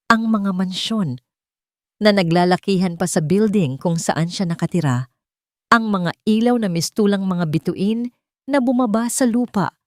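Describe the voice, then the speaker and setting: Low-voiced woman narrating a dramatic story. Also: no echo, clean studio sound. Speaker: storyteller of Tagalog romance audio stories.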